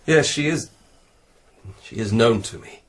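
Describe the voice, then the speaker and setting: A slow, husky, ailing nobleman. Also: mid-range voice, expressive. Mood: halting, uneasy. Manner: slow, halting, formal. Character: ailing young nobleman, courteous but halting and evasive. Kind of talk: British radio drama acting.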